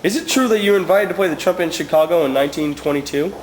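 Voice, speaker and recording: A man playing a 1920s newsman and interviewer in an amateur mock broadcast, presenting in a slightly halting way. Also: on the high side, natural voice. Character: 1920s newsman covering youth crazes and interviewing musicians. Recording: clearly noisy amateur recording.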